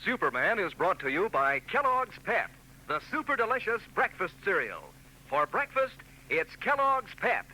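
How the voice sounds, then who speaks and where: A friendly man reading sponsor messages on a 1940s radio show, in a persuasive way. His fairly high voice moves lively and sounds very bright. Faint hiss of the old broadcast lies beneath. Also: moderate pace, low-quality recording. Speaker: announcer.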